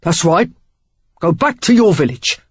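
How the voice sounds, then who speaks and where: A woman voicing a rough, intense man with a Cockney accent, speaking hoarsely and commandingly. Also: fairly low pitch, sing-song, a little slow, no room sound, muffled recording, exaggerated voice. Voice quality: husky, hoarse.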